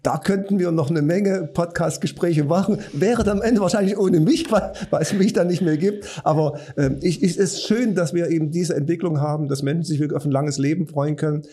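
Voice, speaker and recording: An older man co-hosting a podcast interview, talking in a thoughtful, halting way and often repeating words as he restarts. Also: on the high side, dark tone, husky. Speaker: podcast co-host.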